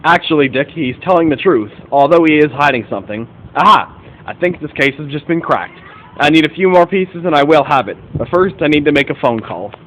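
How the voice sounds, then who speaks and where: A man's voice: a little high, expressive, fairly quick, a bit bright, low-quality recording. A man playing a confident, observant detective in an amateur radio play, with formal, instructive phrasing. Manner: formal, didactic, declarative phrasing. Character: confident, observant male detective in 1940s America.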